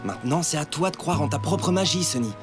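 A man voicing a kind, reassuring young male pony in a French cartoon dub, gentle and encouraging. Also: on the high side, lively intonation, fast, lightly acted. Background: music.

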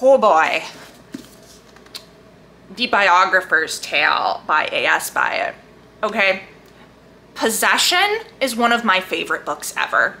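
A woman book vlogger chatting casually and enthusiastically to camera, leaning hard on emphatic, drawn-out words. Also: mid-range voice, big pitch swings, gravelly, bright, forceful tone, a little hiss or hum. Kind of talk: casual chat to camera about books.

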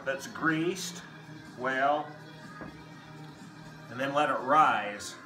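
A middle-aged man hosting a casual cooking demonstration, talking plainly and relaxed while he works with his hands, first in a kitchen, then in an open field. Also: mid-range voice, recorded from a distance. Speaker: outdoor cooking host. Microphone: camera mic at a distance.

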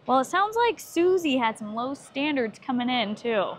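A woman's voice: high voice, sing-song, moderate pace, over loud music. Upbeat woman vlogger in an airport terminal. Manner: playful, laughs often. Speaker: travel vlogger co-host. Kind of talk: casual chat, reading reviews aloud. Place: large airport terminal, indoors. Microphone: clip-on lapel mic.

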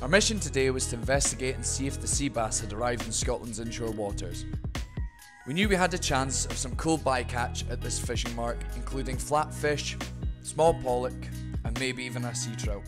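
A man's voice: medium pitch, moderate pace. Scottish guy doing voice-over for his fishing vlog, dry self-deprecating jokes, guitar music behind. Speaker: fishing guide and channel host. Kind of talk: scripted voice-over narration. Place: voice-over, not recorded on the shore.

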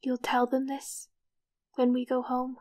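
A frail young woman of nineteen in an audio drama. Her voice is high and very even, gentle and resigned, with halting phrases. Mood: weary, resigned.

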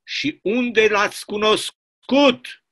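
A man reading a play as a stern, bullying communist prison governor who barks suspicious, drawn-out questions. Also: high voice, pushed and bright, pitched above the performer's own voice. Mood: accusing. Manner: barking, interrogating, drawn-out emphatic questions.

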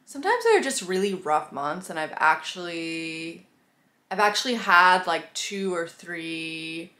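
A woman's voice: fairly low pitch, measured pace. Young woman vlogger with a cold, rambling apologetically into an on-camera mic. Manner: apologetic and rambling, animated hand gestures. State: sick, a bit nasal. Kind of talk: casual vlog, talking to camera. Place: bedroom with couch, indoors. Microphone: camera mic at arm's length.

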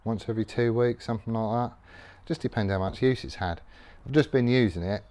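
A man's voice: medium pitch, a little fast, darkish tone. A middle-aged man casually explaining his tool care while working in a garage workshop, rambling and matter-of-fact with many ums. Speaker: workshop vlogger.

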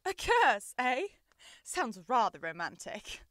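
A woman's voice: a little high, sing-song, takes it slowly, bright, forceful tone, no room sound, full character voice. A woman playing a shrewd, commanding male detective in an old-style radio mystery, with a dry, superior manner. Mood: skeptical. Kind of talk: 1930s radio mystery acting.

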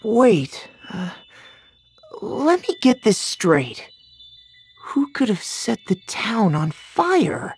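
Young fantasy game hero, earnest, hesitant, breathy, very animated pitch. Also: female voice, very slow, full character voice. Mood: puzzled, urgent. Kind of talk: video game voice acting.

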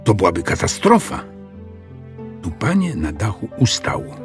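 A man narrating a children's audiobook in an expressive storytelling style. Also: on the low side, normal speed, music in the background.

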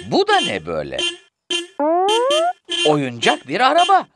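A man playing a friendly, excitable narrator of a preschool cartoon, expressive and speaking directly to children and characters. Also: on the high side, measured pace. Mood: playful, inviting. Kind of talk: children's cartoon narration addressing young viewers. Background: music.

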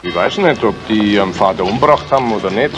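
An actor playing an outspoken, defiant village tavern regular in a 1970s German radio crime drama. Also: male voice, fairly low pitch, animated, fast talker, highs cut off, music in the background, close to an everyday voice. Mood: defiant, bitter.

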